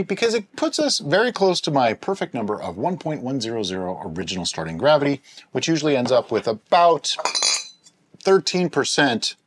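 Lively middle-aged man explaining brewing. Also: mid-range voice. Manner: animated, explains steps while handling gear. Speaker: homebrewing show host. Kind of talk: casual instructional chat. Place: home studio with shelves of bottles.

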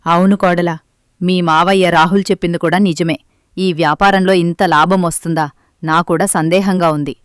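Questioning family matriarch for a story cartoon, female actor, lowish pitch, dry sound. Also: steady tone, fairly quick, no room sound, close to an everyday voice. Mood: doubtful. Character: doubtful mother-in-law questioning family money.

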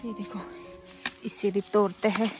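A woman's voice: medium pitch, little pitch movement, unhurried. A young woman chatting casually as she picks leaves in her village garden, pointing things out to the camera. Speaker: vlogger. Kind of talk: casual vlog chat. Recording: background music over outdoor sound.

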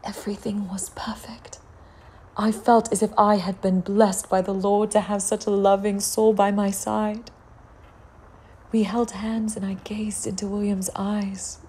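A woman acting a young, genteel, desperate widow in a gothic audio drama, speaking formally and theatrically with a breathy voice. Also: mid-range voice, little pitch movement, measured pace, warm tone. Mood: wistful.

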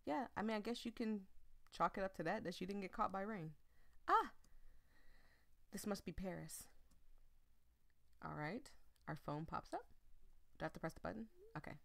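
A woman doing a casual let's-play, reading a visual novel aloud in a chatty, expressive way and breaking off into asides. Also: mid-range voice, moderate pace, gravelly, long pauses. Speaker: video game streamer.

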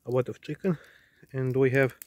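A man narrating a casual camping vlog in simple, halting English. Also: mid-range voice, level tone. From Bosnia and Herzegovina. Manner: plain halting delivery in simple non-native English. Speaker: outdoor camping vlogger. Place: outdoors in woods at a night camp. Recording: clearly noisy outdoor camera sound.

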